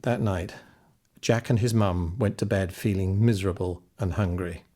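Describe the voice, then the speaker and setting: A man narrating a children's fairy tale in an expressive, scripted storytelling style. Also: low voice, moderate pace. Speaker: audiobook narrator.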